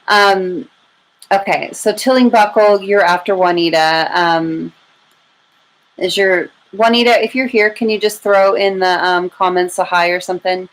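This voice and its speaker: A woman's voice: medium pitch, fairly even intonation, measured pace, poor audio. An American woman hosting a live tarot reading, chatting informally to her viewers and thinking aloud as she goes. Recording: clear background noise and room ambience.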